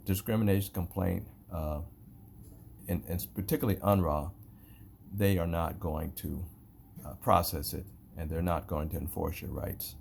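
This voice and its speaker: Deep, gravelly-voiced American man. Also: darkish tone, a little hiss or hum. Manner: halting, repetitive, emphatic. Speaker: complainant narrating own video. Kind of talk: unscripted spoken commentary on a grievance.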